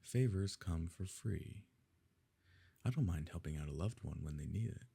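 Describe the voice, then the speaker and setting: A possessive, smooth-mannered evil nobleman, played by a man in an ASMR romance drama. His voice is very deep and dark, with a gravelly grain. He speaks formally and with measured control, pressing his beloved with quiet coercion. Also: animated, full character voice. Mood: smoothly coercive. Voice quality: low, husky, gravelly. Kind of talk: ASMR romance roleplay, scripted dramatic monologue.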